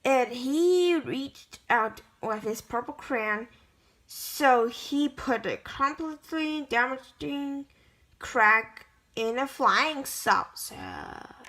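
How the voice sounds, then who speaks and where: A woman reading a children's picture book aloud in a halting way, stumbling over words as she goes. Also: sits high, lively intonation, fairly bright tone. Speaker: amateur story reader.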